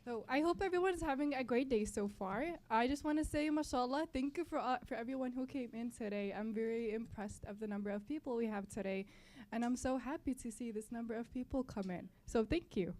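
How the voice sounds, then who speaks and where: A woman's voice: a little high, a little fast, a little mellow. A young woman from Palestine, a native Arabic speaker, giving a welcome speech to an audience as a student club member, often repeating and correcting her phrases. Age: young adult. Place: college library event.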